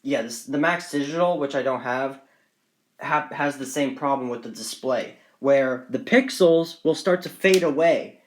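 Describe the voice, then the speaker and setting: A man with a medium-pitched voice gives an informal show-and-tell of his collection. He rambles and repeats himself as he goes, keen on the small details. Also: measured pace. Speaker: hobbyist collector presenting radios on video. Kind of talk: casual unscripted show-and-tell vlog.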